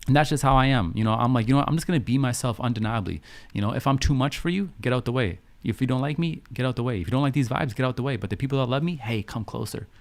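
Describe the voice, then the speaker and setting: A man's voice: medium pitch, very fast, vocal fry, few pauses, no echo. A man, hosting a casual live stream chat in an affirming way, repeating phrases and checking that listeners understand. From Canada, grew up in Vancouver. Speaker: live stream host and podcaster.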